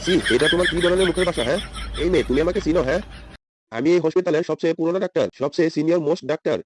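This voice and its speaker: A man's voice: a little high, very fast, background noise, lightly acted. A man voicing an indignant, proud old hospital doctor in a comedy cartoon. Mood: offended. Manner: huffy, scolding, repetitive.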